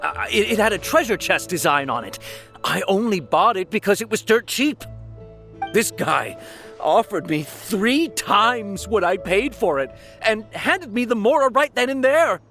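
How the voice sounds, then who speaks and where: An actor playing a nervous, cornered young man who stammers and hesitates in an animated video game style. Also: high voice, a little slow, vocal fry, faint music behind, heavily acted. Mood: earnest, excitable.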